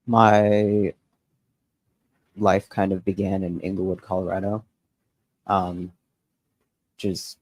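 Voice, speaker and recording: A man filmmaker at a festival Q&A, quietly and hesitantly recalling where he grew up. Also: low voice, flat delivery, takes it slowly, long pauses, no echo. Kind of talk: reflective panel answer. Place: festival screening venue.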